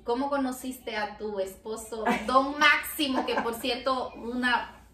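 A woman's voice: on the high side, fairly even intonation, unhurried, bright, forceful tone. A woman with a jokey manner, chatting casually as a guest interviewer on a home vlog. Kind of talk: casual playful chat. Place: home kitchen and living room. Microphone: camera a few meters away. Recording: echoey, distant, faint noise.

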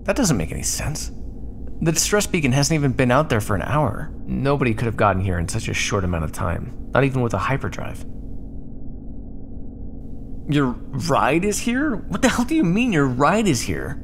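A man playing a prickly, sarcastic starship crewman in an ASMR roleplay, talking with quick-tempered exasperation. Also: mid-range voice, big pitch swings, a little hiss or hum.

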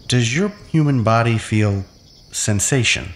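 A man's voice: medium pitch, expressive, measured pace, a little hiss or hum, natural voice. A man playing an obsessive, manipulative captive in an audio drama, with an intense, commanding, brooding manner. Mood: insistent, manipulative.

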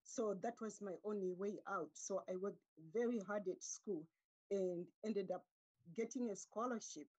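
Calm, husky middle-aged woman. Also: medium pitch, poor audio. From Zimbabwe. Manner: calm, measured, with frequent um pauses. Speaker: guest artist. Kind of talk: conversational interview answers. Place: home room with curtains. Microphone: laptop webcam on a video call.

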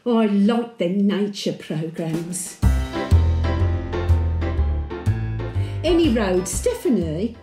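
An actress playing a chatty, sentimental Birmingham widow of over a hundred in a homely, confiding monologue. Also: female voice, medium pitch, big pitch swings, slow, roomy sound, over music. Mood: wistful, chatty. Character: 101-year-old widowed Brummie great-grandmother, chatty, sentimental, plain-spoken. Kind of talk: dramatic monologue, intimate storytelling.

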